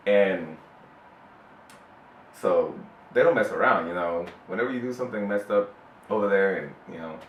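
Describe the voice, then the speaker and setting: Low-voiced man telling a story. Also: slight background noise. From El Salvador. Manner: halting, relaxed, many fillers and restarts. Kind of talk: unscripted personal storytelling. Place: Houston interview space. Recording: roomy distant mic with faint ambience.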